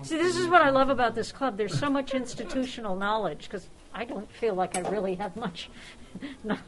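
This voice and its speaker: A woman host presenting an award informally at a club luncheon, chatty and halting, often interrupting herself. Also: a little high, low-quality recording. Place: restaurant luncheon room. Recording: busy restaurant crowd chatter.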